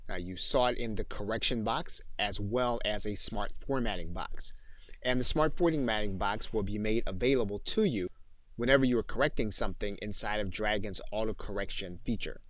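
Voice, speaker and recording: A man giving a software training demonstration as an instructor, speaking in deliberate, enunciated, instructional phrases. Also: on the low side, no room sound. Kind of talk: tutorial demonstration with dictated voice commands.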